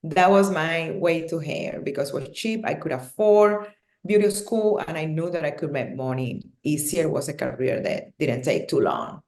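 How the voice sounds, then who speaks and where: Woman, Cuban accent, low voice, candid, laughing, echoey room. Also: lively intonation, normal speed. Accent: Cuban Spanish. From Cuba. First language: Spanish. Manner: warm, candid, laughs often. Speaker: guest, hairstylist and educator. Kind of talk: casual podcast interview.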